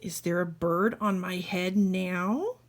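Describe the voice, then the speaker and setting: A woman's voice: fairly low pitch, takes it slowly, pitched below the performer's own voice. A woman voicing an anxious, exasperated elephant from a children's storybook, with worried, emphatic, complaining delivery. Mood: uneasy. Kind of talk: children's storybook read-aloud.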